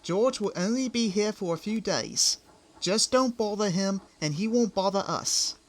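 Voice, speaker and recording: A man's voice: high voice, expressive, a little slow. Man voicing a chiding, exasperated talking engine. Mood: firm. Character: male talking vehicles and engines of a children's railway tale. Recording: music behind the voice.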